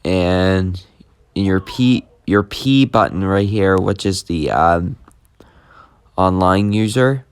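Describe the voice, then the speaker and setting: Slow-talking man with a deep voice. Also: clean tone, no room sound. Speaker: YouTube host demonstrating an app. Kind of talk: casual unscripted tutorial commentary.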